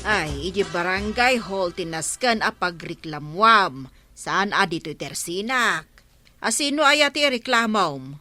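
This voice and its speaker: Bright, no-nonsense village councilwoman. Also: mid-range voice, lively intonation, measured pace, faint music behind. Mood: skeptical. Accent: Ilocano. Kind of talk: Ilocano radio comedy drama acting.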